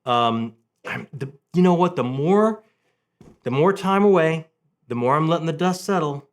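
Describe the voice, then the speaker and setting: A man hosting a casual, enthusiastic book vlog, talking in an animated way with halting restarts and repeated words. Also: a little high.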